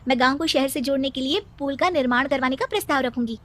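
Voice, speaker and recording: A woman's voice: high voice, fast, fairly bright tone, pitched above the performer's own voice. A woman voicing an eager, idealistic young village girl in a cartoon story, speaking earnestly. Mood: proud, hopeful. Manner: earnest, hopeful, pleading.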